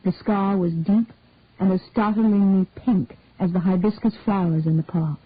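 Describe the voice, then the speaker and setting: A woman reads a short story aloud, measured and unhurried, with a slightly husky voice. The sound is tinny and thin like a phone line, and completely dry, with no room around it. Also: mid-range voice, fairly even intonation, no room sound. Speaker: reader. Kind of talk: scripted narration of a detective story.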